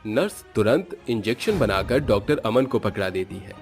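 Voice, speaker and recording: A man narrates a scripted cartoon horror story. His voice is on the low side. Soft music sits faintly behind him. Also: very animated, normal speed.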